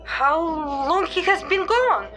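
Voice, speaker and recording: A woman's voice: very high voice, lively intonation, slow, music in the background, exaggerated voice. A woman playing a skeptical secret agent in her thirties, a blunt older sister questioning in an audio drama. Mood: wary, skeptical.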